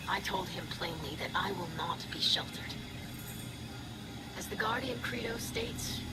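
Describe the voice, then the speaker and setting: An actress playing an earnest young alien scribe in a video game, speaking formally and precisely in a breathy voice. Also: female voice, medium pitch, natural voice, rough recording. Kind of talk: video game cutscene monologue. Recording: loud game music underneath.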